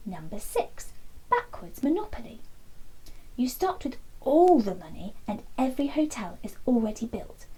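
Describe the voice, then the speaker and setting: A woman narrating a children's book as an expressive bedtime story, animated, with dramatic pauses. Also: mid-range voice, slightly rough, low-quality recording.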